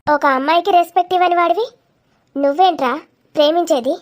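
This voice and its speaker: A woman's voice: extremely high pitch, fast. A woman voicing a feisty, sarcastic young woman in a confrontational cartoon comedy. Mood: indignant. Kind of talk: dramatic cartoon comedy dialogue.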